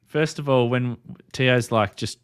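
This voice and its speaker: A man's voice: medium pitch, normal speed, smooth, no echo, clean studio sound. A man with an Australian accent hosting an informal, chatty group conversation, with a joking way of talking. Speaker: host of a ham radio livestream. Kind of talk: casual group chat.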